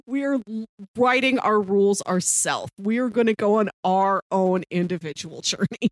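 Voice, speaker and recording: A woman's voice: medium pitch, moderate pace, no room sound, studio quality. A woman co-hosting a podcast, discussing TV casually and expressively, acting out imagined quotes as she goes. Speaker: podcast co-host.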